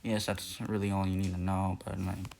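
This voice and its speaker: A man's voice: low-pitched, completely flat delivery, moderate pace, creaky, darkish tone, a little hiss or hum. A young man talking casually in a video, rambling with many fillers, restarts and self-corrections. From Nepal. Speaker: youtuber. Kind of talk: casual lore video narration.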